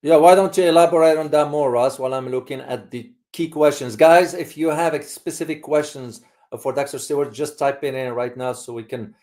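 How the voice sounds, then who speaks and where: Halting male host, casual live chat. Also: a little high, steady tone, darkish tone. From Morocco. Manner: halting, repeats words. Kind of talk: casual live community discussion.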